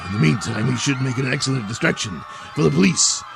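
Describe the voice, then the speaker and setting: A man voicing a scheming villain with a low, gravelly, gloating drawl, in a hobby audio drama. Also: animated, quick pace, over music.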